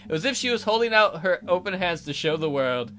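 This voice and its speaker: A man's voice: high-pitched, expressive, fast talker, pushed and bright, faint music behind. A man hosting a let's play, reading a visual novel aloud as dramatic narration with joking asides.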